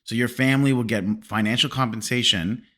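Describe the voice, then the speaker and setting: A man whose first language is Farsi, hosting a casual film discussion podcast in an animated way and often correcting himself mid-sentence. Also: medium pitch, a little fast. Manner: animated, laughing, self-correcting.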